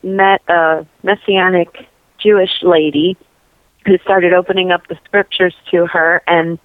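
A woman's voice: lowish voice, flat delivery, a little slow, no echo. A woman from New York and New Jersey, speaking as an interview guest, who talks earnestly and rambles with many "you know" fillers. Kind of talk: casual testimony interview. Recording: dry tinny phone-like line.

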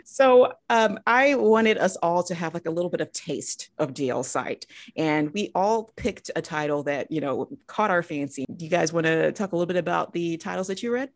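A woman's voice: lowish voice, expressive, moderate pace, hardly any pauses. A woman hosting a podcast in a casual, conversational style. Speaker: podcast host. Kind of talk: casual chat.

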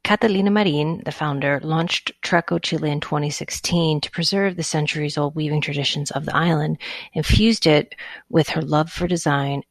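A woman's voice: low voice, fairly even intonation, normal speed, no room sound. A woman hosting an interview show, reading a scripted introduction and then chatting with enthusiasm. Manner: enthusiastic, gushing. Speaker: podcast host.

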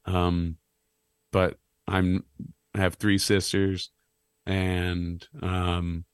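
A man in his thirties with a low, slightly raspy voice, chatting casually on a podcast as a guest, rambling and self-deprecating. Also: very slow, dry recording, studio quality. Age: 30s. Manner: rambling, self-deprecating, animated when joking. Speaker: clown and musician guest.

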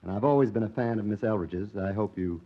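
A man's voice: on the low side, quick pace. A man hosting an old-time radio show in a formal, courtly, polished way, on a dull vintage recording. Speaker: radio host.

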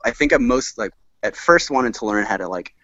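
A man's voice: on the low side, lively intonation, brisk, fairly bright tone, no room sound, highs cut off. An American man in his thirties chatting casually as a podcast guest, rambling and self-deprecating as he talks. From rural southern Maryland, United States. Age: 30s. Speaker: podcast guest, touring musician and recording engineer. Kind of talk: casual chat.

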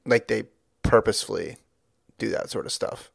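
A man's voice: on the low side, normal speed, vocal fry, husky, no room sound, studio quality. A middle-aged man hosting a podcast, chatting casually in an upbeat, rambling way. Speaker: podcast host. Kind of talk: casual chat.

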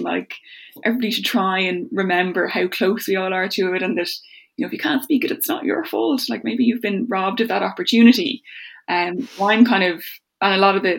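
An Irish woman, a legal academic, talking as a guest in a podcast interview, animated and rambling with lots of "like" and "kind of". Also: medium pitch, vocal fry, husky. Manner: animated, talks in long run-on stretches with frequent "like" and "kind of".

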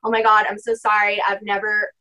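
A woman's voice: medium pitch, completely flat delivery, fairly quick, sharp tone. A young woman talking casually as a podcast guest, rambling with lots of filler words. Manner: rambling, heavy use of "like" and "you know". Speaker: podcast guest, spiritual practitioner. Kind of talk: casual interview chat.

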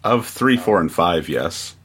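A man's voice: sits low, lively intonation, slow pace, a bit bright, breathy edge. A man chatting casually as a guest on a podcast, chiming in on a discussion. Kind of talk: casual chat.

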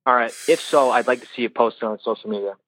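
A man's voice: medium pitch, fast talker, a bit bright. A man calling in to a talk show with a jokey, casual question. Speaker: caller. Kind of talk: recorded voicemail question. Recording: dull phone line.

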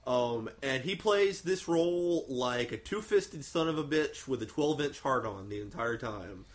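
A man's voice: medium pitch, animated, a little slow. Enthusiastic, casually profane man hosting fan chat. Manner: enthusiastic, emphatic storytelling with acted-out quotes. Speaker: host of a film review discussion.

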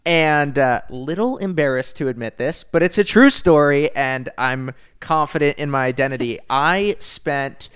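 A man co-hosting a podcast, telling stories in casual, enthusiastic chat and repeating words when excited. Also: on the high side, measured pace, few pauses, clear, resonant tone. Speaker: podcast co-host.

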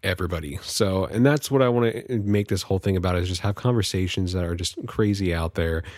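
A man's voice: low-pitched, fairly quick, darkish tone, dry recording, clean studio sound. A Californian American man hosting a podcast solo, talking casually in a rambling, jokey, informal way. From California, United States. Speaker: podcast host.

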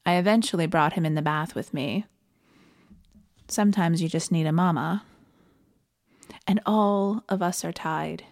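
A Canadian woman giving a reflective, scripted sermon in an earnest and measured manner. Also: fairly low pitch, gravelly. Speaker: preacher.

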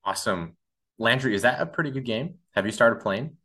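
A man's voice: mid-range voice, lively intonation, fairly quick, pushed and bright. A young man from Brazil hosting a student podcast, upbeat and chatty with lots of fillers. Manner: upbeat, with many "awesome"s and uh fillers. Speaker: podcast host. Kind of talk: scripted podcast intro and casual chat.

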